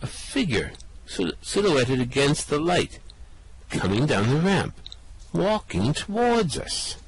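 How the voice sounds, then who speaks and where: A man's voice: fairly high pitch, sing-song, takes it slowly, breathy edge, heavily acted, poor audio. A man voicing an anxious ordinary Earthman in an amateur radio play reading, nervously describing what he sees. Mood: worried.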